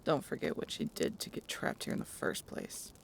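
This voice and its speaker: A woman's voice: normal speed, a little hiss or hum, close to an everyday voice. A woman playing a grumpy, cynical, guarded female harpy in an audio roleplay, talking in a curt, sardonic, grudging way. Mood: bitter.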